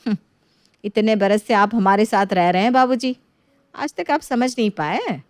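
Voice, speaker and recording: A woman's voice: mid-range voice, lively intonation, normal speed, darkish tone, dry recording, clean studio sound, lightly acted. A woman playing a haughty, greedy daughter-in-law in a moral story, mocking and condescending. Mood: mocking, smug. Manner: condescending, sneering.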